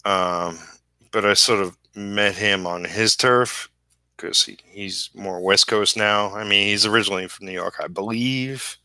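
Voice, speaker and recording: Slow-talking man, bright forceful voice, halting. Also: on the low side, steady tone, no echo. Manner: halting, trailing off mid-thought. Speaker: comic book inker live streaming. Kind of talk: casual rambling livestream chat while drawing.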